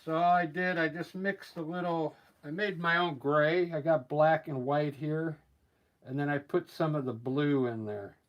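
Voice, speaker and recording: Slow-talking man with a scratchy voice. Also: on the high side, dull audio. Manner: relaxed, thinking aloud with many fillers. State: scratchy voice from allergies. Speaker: artist painting live. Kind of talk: casual live painting demonstration.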